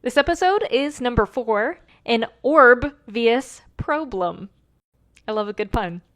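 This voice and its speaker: High-voiced woman introducing herself. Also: animated, slow, a bit bright. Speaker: voice actor. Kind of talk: casual cast introduction.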